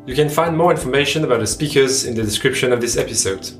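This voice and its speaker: A man's voice: mid-range voice, quick pace, roomy sound, soft background music. A man hosting a podcast, asking questions and giving a polite, formal sign-off. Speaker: podcast host. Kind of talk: interview questions and outro.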